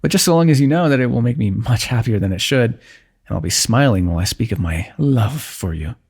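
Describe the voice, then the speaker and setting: Gravelly guy doing soft affectionate asmr roleplay, lots of playful teasing. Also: medium pitch, animated, brisk. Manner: soft, teasing and affectionate, with frequent light laughs. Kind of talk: intimate ASMR fantasy roleplay.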